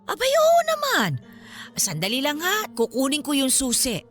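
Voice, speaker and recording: Lively, folksy elderly female caretaker. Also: high-pitched, moderate pace, faint music behind, exaggerated voice. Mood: chatty, obliging. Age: older. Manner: chatty, exclaiming, bashful. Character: elderly woman caretaker of an old house, modest, folksy. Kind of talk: Filipino radio drama acting.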